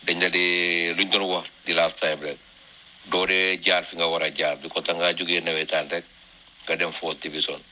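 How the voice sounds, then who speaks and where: A man's voice: sits low, flat delivery, brisk, bright, forceful tone, a little hiss or hum, rough recording. A man sharing his take informally in a group discussion. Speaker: discussion participant. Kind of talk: casual spoken opinion on a topic.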